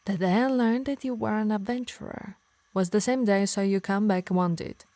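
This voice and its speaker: A woman with an Italian accent playing a possessive, obsessed harpy in an ASMR roleplay, soft and breathy, slipping into whispers, both tender and menacing. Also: medium pitch, normal speed, no room sound, close to an everyday voice. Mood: tender, lovestruck. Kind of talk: intimate ASMR roleplay acting.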